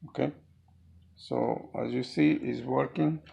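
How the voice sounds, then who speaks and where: A man with a heavily gravelly, creaky voice at an average pitch walks through a hands-on repair job. He speaks off the cuff as a DIY presenter, halting and repeating words as he goes. Faint background noise and clicks sit behind the voice. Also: fairly even intonation, mellow. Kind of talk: hands-on how-to demonstration, unscripted.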